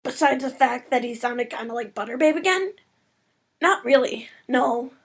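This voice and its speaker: A woman's voice: sits high, animated, normal speed, husky, exaggerated voice. A woman voicing a small, eager female baby dragon assistant in a cartoon-style audio story, gushing and informal. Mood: casual.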